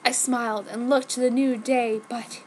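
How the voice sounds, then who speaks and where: A woman's voice: fairly high pitch, level tone, normal speed, very noisy. A woman reading fanfiction aloud as a theatrical, mock-dramatic narration. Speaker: fanfiction reader.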